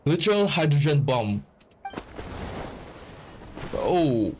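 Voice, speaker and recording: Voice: medium pitch, very animated, slow, darkish tone, a little hiss or hum. A game streamer of unclear sex giving casual, slangy and blunt reactions while playing. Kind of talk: casual gameplay reaction commentary.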